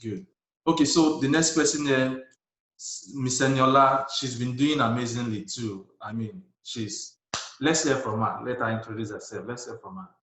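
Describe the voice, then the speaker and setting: A man's voice: mid-range voice, steady tone, moderate pace, fairly bright tone, slight background noise. A man from Nigeria hosting a video call panel, upbeat and encouraging, often laughing. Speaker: host. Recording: roomy echo.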